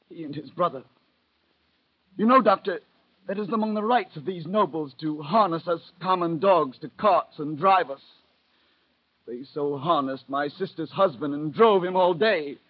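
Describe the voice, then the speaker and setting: An actor playing a bitter, anguished, dying peasant boy of seventeen in a 1930s radio drama, halting and impassioned. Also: high voice. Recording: dull lo-fi vintage radio recording.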